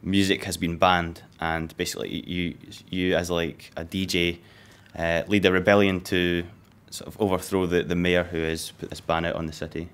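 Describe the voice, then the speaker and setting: A man, a team leader, calmly explaining his game in a casual interview. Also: deep voice, moderate pace, few pauses, clean tone.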